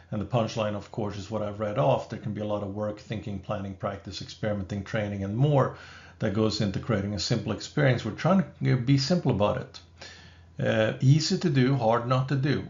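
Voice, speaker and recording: Gravelly swedish-accented professor, measured lecture voice-over, slightly dull recording. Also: male voice, mid-range voice. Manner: measured, explanatory, with occasional asides. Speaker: business school professor.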